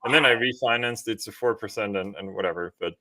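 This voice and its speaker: Bright-voiced man chatting. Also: fairly low pitch, fast, rough recording. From Austria. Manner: informal, often checks with "right?". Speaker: podcast host. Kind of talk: casual conversational explanation.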